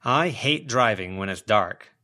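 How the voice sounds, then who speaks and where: A man's voice: fairly low pitch, expressive, a little slow, pushed and bright. A man voicing a brash, nosy, tactless woman in an audiobook, with a blunt, pushy way of talking. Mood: brisk, demanding.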